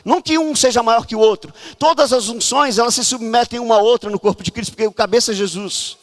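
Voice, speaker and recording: A man from Brazil preaching a fervent charismatic sermon at a church conference, often shouting and urging the congregation to act. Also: very high voice, fast.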